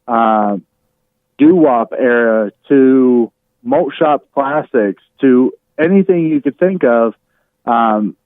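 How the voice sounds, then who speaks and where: A man speaking over a narrow telephone line as a radio guest, chatting casually and haltingly as he thinks aloud. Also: mid-range voice, no room sound. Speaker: radio host, interview guest. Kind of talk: casual chat on a phone interview. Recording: tinny narrow phone line.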